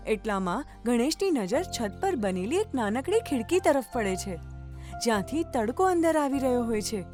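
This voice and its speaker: A woman's voice: a little high, a little mellow. A woman narrating a scripted children's story as the storyteller.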